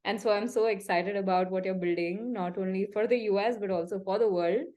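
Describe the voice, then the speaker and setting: A woman's voice: mid-range voice, steady tone, fairly quick, a little mellow. A woman hosting an interview who opens with effusive praise and a lot of hesitant fillers. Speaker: interview host. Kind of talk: warm friendly interview opening.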